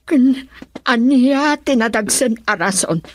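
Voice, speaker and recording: A woman's voice: fairly high pitch, lively intonation, slow, slight background noise. A woman playing a distraught mother in a Filipino radio drama, anguished and wailing, with a Filipino accent. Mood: hurt, accusing. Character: anguished, shocked mother confronting her daughter.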